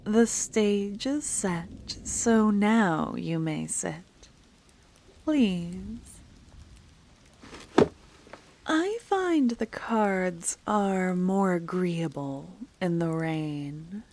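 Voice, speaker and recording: A woman voicing a flirtatious, mysterious female fortune teller in an ASMR roleplay, teasing in a soft whisper. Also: fairly low pitch, animated, drawn-out words, slight background noise, heavily acted.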